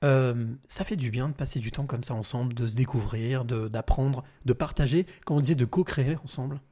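A man hosting a podcast interview in a large room in a home, speaking warmly and stopping to search for words. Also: mid-range voice, a little fast. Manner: warm, searching for words with repeated restarts. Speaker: podcast host.

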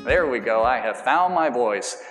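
A man's voice: mid-range voice, expressive, unhurried, long reverb tail, music in the background. A man pastor giving a conversational sermon with rambling asides and everyday illustrations, recorded in a church sanctuary.

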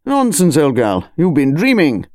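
A woman's voice: deep voice, wildly swooping pitch, measured pace, a little mellow, dry recording, studio quality, exaggerated voice. A woman voicing a drowsy, middle-aged English colonel in an audiobook, kindly dismissive of his wife. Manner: kindly patronising, muttering.

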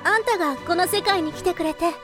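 A woman's voice: very high-pitched, a little fast, a bit bright. A woman playing a young tsundere sorceress in an anime-style radio drama. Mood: grateful, shy. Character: young tsundere sorceress girl of fantasy world. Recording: music behind the voice.

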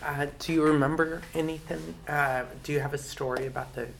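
An interviewer asking questions slowly and hesitantly, with many pauses and fillers. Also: medium pitch, steady tone, creaky, slight background noise. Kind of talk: oral history interview questions.

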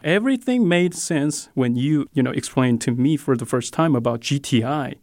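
A man's voice: medium pitch, animated, moderate pace, darkish tone, no room sound, clean studio sound. A man in his forties from South Korea speaking English with a Korean accent, giving earnest, polite interview answers with hesitant fillers. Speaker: ministry director, guest.

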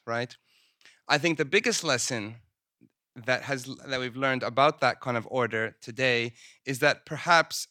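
A man presenting his research at a panel in a conference room, speaking in a measured way with frequent ums. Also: mid-range voice, sharp tone, no echo, studio quality. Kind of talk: academic talk at a panel. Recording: poor sound quality.

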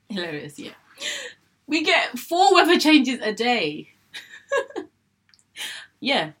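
A woman's voice: fairly high pitch, very animated, drawn-out words, pauses often, fairly bright tone, breathy edge. A young woman from England talking candidly to camera about her own life, chatty, often repeating herself and acting out her past thoughts. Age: young adult. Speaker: vlogger sharing her illness story. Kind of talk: candid personal monologue.

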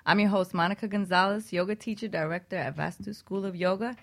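A woman's voice: mid-range voice, steady tone, quick pace, gravelly. A woman hosting a radio talk show in a casual, bantering, giggly way alongside a co-host.

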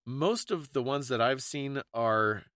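A man's voice: mid-range voice, slow, fairly bright tone, no room sound, studio quality. A man hosting a podcast, explaining things in a casual, thoughtful conversational way. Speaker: podcast host.

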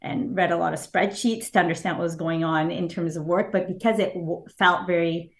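A woman whose first language is Japanese, speaking as an author guest in an interview, thoughtful and hesitant with fillers and soft laughs. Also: lowish voice, steady tone, a little fast. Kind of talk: casual interview answers.

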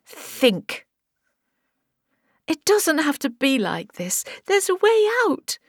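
A woman voicing a terrified young woman in an audiobook, crying and pleading haltingly for her life. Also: high voice, big pitch swings, no echo, studio quality, voice pushed up, full character voice.

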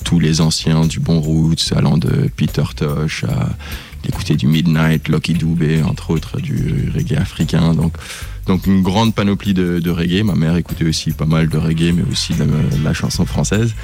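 A young man from Nigeria, a reggae musician, answering radio interview questions in an unhurried way with many fillers. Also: a bass voice, steady tone, creaky, darkish tone, faint music behind. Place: radio studio.

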